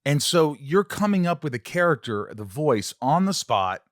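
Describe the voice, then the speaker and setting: A man's voice: a little high, very animated, normal speed, no echo, studio quality. A man hosting a casual conversational interview, asking questions and musing aloud. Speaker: podcast host, interviewer.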